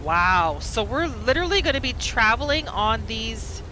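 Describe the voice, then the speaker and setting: A woman hosting a roleplay gaming video, giving casual, expressive commentary as she plays. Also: on the high side, normal speed, slight background noise, low-quality recording. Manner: expressive, reactive. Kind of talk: casual let's play commentary mixed with in-character roleplay. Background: game music.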